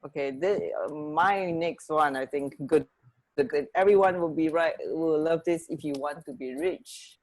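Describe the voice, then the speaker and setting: A woman's voice: sits low, measured pace, a little mellow. A woman co-hosting a radio show, chatting casually and sharing bits of trivia.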